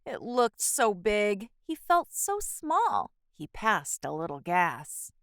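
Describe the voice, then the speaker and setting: A woman reading a children's picture book aloud in a measured, expressive storytelling manner. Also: medium pitch, no echo, clean studio sound. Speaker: children's book reader and channel host.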